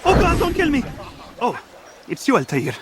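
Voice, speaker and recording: An actor playing a jittery, cowardly informant in a video game, nervous and animated. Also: male voice, very high voice, moderate pace, loud music behind the voice, exaggerated voice. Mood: jumpy. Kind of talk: scripted video game cutscene acting.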